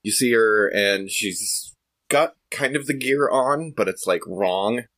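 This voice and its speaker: Low, bright-edged man narrating a tabletop game. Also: slow pace, no echo. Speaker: tabletop role-playing game player. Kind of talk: improvised scene narration, casual.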